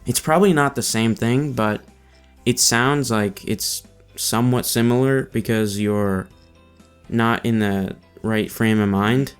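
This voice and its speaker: A man's voice: medium pitch, a little slow, clear, resonant tone, soft background music. A man hosting a casual podcast chat, musing with curiosity about ideas. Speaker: podcast host.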